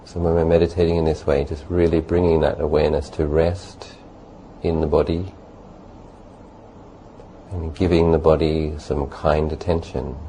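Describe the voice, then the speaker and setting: A man leading a guided meditation as a teacher, speaking gently and unhurriedly in short phrases with long pauses. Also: very deep voice, little pitch movement, rough recording. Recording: faint background noise.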